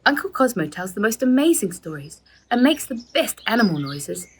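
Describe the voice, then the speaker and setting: A young storybook girl, wistful and adoring, read by a woman narrator. Her gushing lines jump higher than the narration and swing expressively. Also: sing-song, moderate pace, slightly rough, heavily acted. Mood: wistful, admiring.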